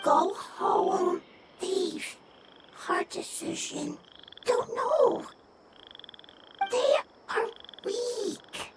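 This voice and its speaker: A woman voicing a small, shy forest creature for a video game, speaking in halting, broken phrases. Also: very high-pitched, lively intonation, heavy background noise, heavily acted. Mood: worried, hesitant. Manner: halting, fragmentary few-word phrases.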